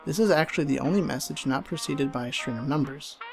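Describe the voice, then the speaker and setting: A man reading a scripted horror story in a measured, dramatic storyteller's delivery. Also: on the high side, creaky. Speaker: narrator reading online horror stories. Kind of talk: scripted creepypasta narration. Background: game music under the voice.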